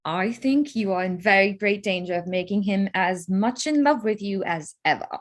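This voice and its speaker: A woman playing a witty, teasing young gentlewoman in a period drama reading, wry and measured. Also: mid-range voice, animated, natural voice.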